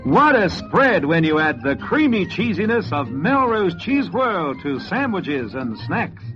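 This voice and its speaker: High-pitched male radio ad announcer. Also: big pitch swings, a little slow. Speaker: advertising announcer. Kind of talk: scripted radio commercial. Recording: dull old radio ad with music.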